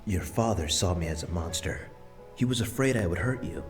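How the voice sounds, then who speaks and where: A man voicing the spirit of an elderly grandfather, speaking softly and patiently. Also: deep voice, lively intonation, normal speed. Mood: tender. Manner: soft and tender, explaining patiently. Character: gentle elderly grandfather's spirit, loving and regretful. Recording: music bed under voice.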